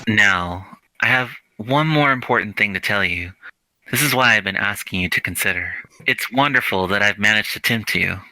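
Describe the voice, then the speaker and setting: A man voicing an older scholarly mentor from a visual novel, patient and earnest, speaking in a measured, formal, reassuring way. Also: fairly low pitch, sharp tone, no room sound, pitched below the performer's own voice, rough recording. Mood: grave, measured. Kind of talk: reading visual novel dialogue in character.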